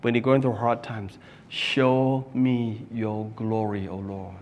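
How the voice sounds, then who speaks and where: A middle-aged man preaching at a lectern in a small church, swinging between loud cries and hushed prayer. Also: lowish voice, level tone, slow pace, lo-fi sound, slight background noise. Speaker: pastor. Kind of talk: sermon. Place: small church hall, indoors. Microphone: stand mic at a lectern.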